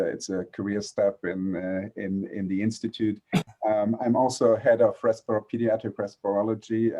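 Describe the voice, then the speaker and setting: A man's voice: fairly low pitch, a little mellow. A middle-aged man with a German accent, a panelist introducing himself on a video call, hesitant with many fillers and restarts. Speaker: panelist, pediatric respirologist.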